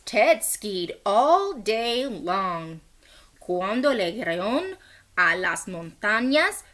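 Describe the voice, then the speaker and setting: Slow, animated woman reading to children. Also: fairly high pitch. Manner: deliberate, expressive, acts out short quotes; encouraging asides and questions to young listeners. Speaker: first grade teacher reading aloud. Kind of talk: slow read-aloud of a bilingual children's picture book.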